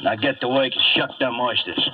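A man's voice: medium pitch, moderate pace, over loud music, natural voice. An actor playing a huge, jealous, menacing restaurant manager who barks curt tough-guy orders in a 1950s radio drama. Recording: muffled, noisy, distant old radio recording.

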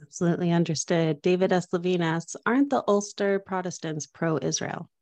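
A woman's voice: lowish voice, moderate pace, darkish tone, dry recording. A woman moderating a talk, politely reading audience questions to the speaker.

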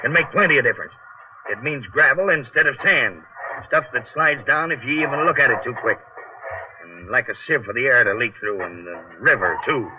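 An actor in a 1940s radio drama playing a cautious, middle-aged veteran tunnel digger and father, gruff and blunt, talking in rough working-class slang. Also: mid-range voice, animated, fairly quick, natural voice. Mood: wary. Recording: muffled, noisy 1940s radio broadcast.

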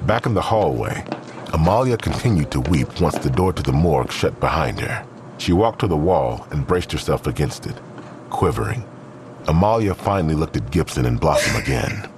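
Really deep voiced guy narrating an audio drama, grave but lots of pitch movement. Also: lively intonation, normal speed, background noise.

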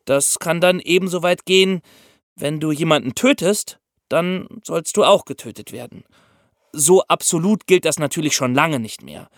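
A man's voice: a little high, moderate pace, no echo, clean studio sound. A man co-hosting an explanatory podcast, teaching and addressing the listeners directly. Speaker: podcast co-host.

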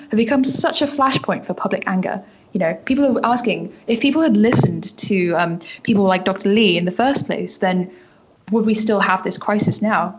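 A woman's voice: medium pitch, darkish tone, slightly rough, lo-fi sound. A British woman in her twenties giving articulate, factual interview answers as a reporter. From United Kingdom. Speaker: news reporter, interview guest.

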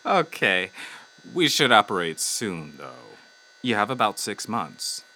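A man's voice: fairly low pitch, sing-song, slow pace, bright, forceful tone, slight background noise, full character voice, poor audio. A man playing a breezy, flippant, money-minded doctor in an audio comedy, glib and casually tactless. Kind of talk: audio drama comedy dialogue.

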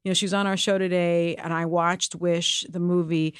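A woman's voice: fairly low pitch, moderate pace, smooth, dry recording, clean studio sound. A middle-aged woman whose first language is Spanish, talking candidly and emphatically in an interview. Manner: animated, emphatic, with laughs and restarts. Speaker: co-host and guest.

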